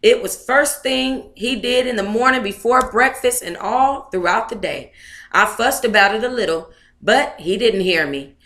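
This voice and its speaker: A woman's voice: on the high side, moderate pace, fairly bright tone, reverberant. A woman on a casual livestream, talking to viewers in a playful, slangy, chatty way as she hosts and reads aloud. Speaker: livestream host reading their own book aloud.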